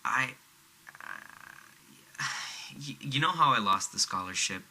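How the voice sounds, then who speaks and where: A man's voice: medium pitch, expressive, slow, pushed and bright, husky, full character voice. A man playing an awkward young college dropout in an audio drama, hesitant and stammering, with a casually bitter edge. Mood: hesitant, downplaying. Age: young adult. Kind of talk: naturalistic audio drama dialogue.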